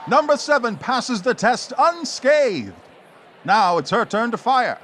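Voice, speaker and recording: High-pitched, animated radio serial narrator man. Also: takes it slowly, a little hiss or hum. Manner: theatrical, suspenseful. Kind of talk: 1940s radio serial narration, dramatic and scripted.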